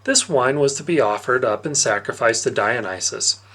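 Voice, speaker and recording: A man reads a story aloud as an audiobook narrator. His mid-pitched voice moves up and down in a lively way, giving the narration an animated, expressive storytelling feel. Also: moderate pace. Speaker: reader of an audiobook.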